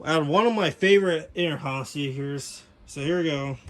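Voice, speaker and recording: A man giving a casual, unscripted show-and-tell as a hobbyist presenter, talking in a rambling, halting, detail-focused way. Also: a little high, background noise. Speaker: hobbyist presenter showing his speaker collection.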